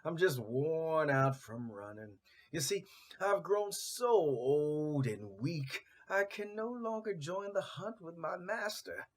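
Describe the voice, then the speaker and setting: Guy doing an old tired dog in a kids story, sad n plaintive, slow, wobbly up and down pitch. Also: expressive. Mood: weary, forlorn. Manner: weary, plaintive. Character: worn-out old male hound, sad and forlorn.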